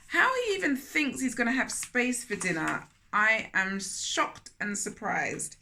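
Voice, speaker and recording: Woman, children's storyteller, bright tone, slightly high pitch, slow-paced, expressive, chatty asides to young listeners. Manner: expressive, questioning, talks directly to young listeners. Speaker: storyteller reading a children's book aloud. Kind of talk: animated children's read-aloud with asides to listeners.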